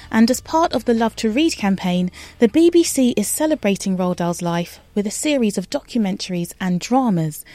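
A woman radio presenter reading a scripted introduction in a welcoming way. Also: mid-range voice. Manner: bright, welcoming presenter delivery.

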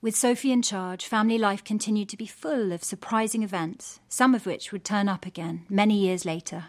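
A woman giving scripted documentary narration in a measured, gently wry storytelling manner. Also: mid-range voice.

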